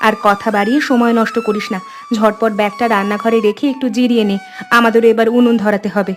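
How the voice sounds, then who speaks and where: Woman as a high-voiced, bossy village housewife. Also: flat delivery, soft background music, natural voice. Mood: brisk, scolding. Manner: brisk, sisterly scolding. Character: bossy but affectionate village housewife and cook. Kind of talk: animated story dialogue.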